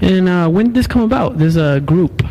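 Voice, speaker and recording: A man's voice: sits high, animated, moderate pace, vocal fry, lo-fi sound. Laid-back male podcast host, noisy mic. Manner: laid-back, says "that's dope". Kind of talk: casual interview.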